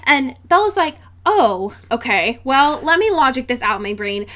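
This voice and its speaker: Woman, podcast host, high pitch, sharp bright tone, casual comedic chat, sarcastic, giggly. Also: moderate pace. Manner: animated, sweary, sarcastic asides with frequent giggling.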